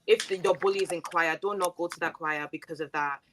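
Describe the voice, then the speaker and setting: A woman's voice: fairly low pitch, steady tone, fairly quick, fairly bright tone, a little hiss or hum. A young woman in a panel discussion giving encouraging advice, repeating words as she finds them. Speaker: panel guest.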